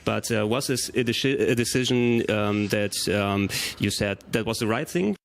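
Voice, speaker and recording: A man asking casual, unscripted interview questions in a halting way, feeling his way through each one. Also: lowish voice, vocal fry.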